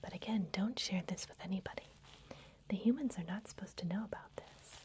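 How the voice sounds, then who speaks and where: A woman voicing a secretive, gentle vampire librarian in a breathy, soothing whisper for an ASMR fantasy roleplay. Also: mid-range voice, natural voice. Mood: secretive, confiding. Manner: slow, soothing, confiding.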